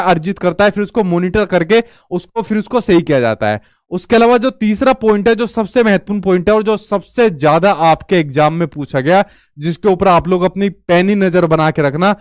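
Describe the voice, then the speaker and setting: Guy with a high voice running an exam coaching lesson, says everything twice, dry close sound. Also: no room sound. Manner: repetitive, restates each point in Hindi and English terms; addresses viewers as brother, checks with "theek hai". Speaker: exam prep teacher. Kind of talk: informal exam coaching lecture.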